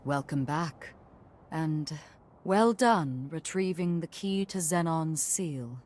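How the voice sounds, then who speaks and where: A woman playing a composed, authoritative noble mentor in a video game, solemn, formal and deliberate. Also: low voice, animated, darkish tone, a little hiss or hum. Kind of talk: video game cutscene acting.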